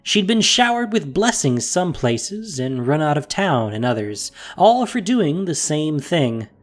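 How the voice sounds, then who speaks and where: A narrator reading a fantasy story in a measured, expressive storytelling voice. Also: mid-range voice, faint music behind.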